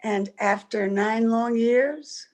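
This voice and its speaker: A woman's voice: on the high side, takes it slowly, a little mellow. A woman playing an anxious, affectionate young-looking wife in an old-time radio play. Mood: tender, teasing. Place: home, over a video call.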